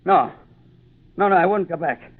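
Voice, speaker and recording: An actor playing a cowardly, treacherous ship's mate in an old radio drama, stammering and pleading. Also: male voice, high voice, moderate pace. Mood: panicked, pleading. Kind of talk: 1940s radio drama acting. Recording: muffled phone-like old radio recording.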